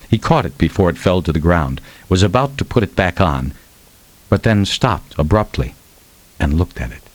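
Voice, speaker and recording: A man with a low voice reads a novel aloud as a dramatic audiobook narrator. His delivery is expressive and deliberate, and the recording is close and dry. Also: no room sound. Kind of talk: dramatic scripted fiction narration.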